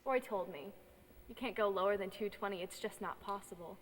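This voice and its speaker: A woman acting a scorned, sharp-tongued small-town woman with a combative temper in a film drama. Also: mid-range voice, brisk, natural voice. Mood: combative, biting. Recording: echoey hall with faint noise.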